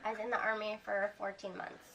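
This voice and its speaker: A woman's voice: medium pitch, flat delivery, fairly quick, creaky, a bit bright, a little hiss or hum, poor audio. A woman in her twenties from Brooklyn, a former soldier, speaking sincerely and conversationally with some fillers. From Brooklyn, New York. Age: 20s. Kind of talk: earnest interview testimony.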